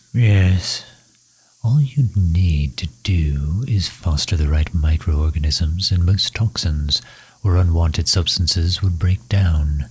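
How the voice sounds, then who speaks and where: Man acting a very deep, husky gentleman villain. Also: exaggerated voice. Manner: courteous, formal, unhurried, musing aloud. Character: refined, courteous male villain, genius inventor, solicitous. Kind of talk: intimate audio roleplay, ASMR-style acting.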